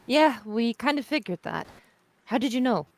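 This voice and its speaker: A woman plays a commanding space rebel in a sci-fi table read. Her voice sits a little high and swings widely in pitch. She is bold and skeptical. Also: animated, normal speed. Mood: dry. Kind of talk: amateur remote table read.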